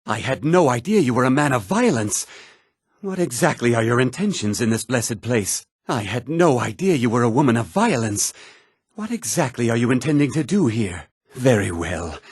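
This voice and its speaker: A man's voice: fairly low pitch, darkish tone, husky, no echo, studio quality. A man playing a devout, earnest pilgrim who worships nature, speaking florid, theatrical video game dialogue. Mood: appalled, righteous.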